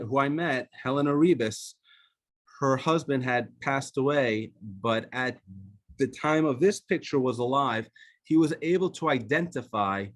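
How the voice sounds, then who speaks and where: A man, an author, showing photographs on a video call and talking in a loose, conversational way with frequent fillers and restarts. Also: medium pitch. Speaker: author and guest speaker.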